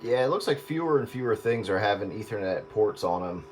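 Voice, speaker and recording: A man's voice: on the low side, expressive, brisk. A man chatting casually over the radio, hesitant and wry, often searching for words. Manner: hesitant, self-deprecating, wry. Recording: radio audio with clear static.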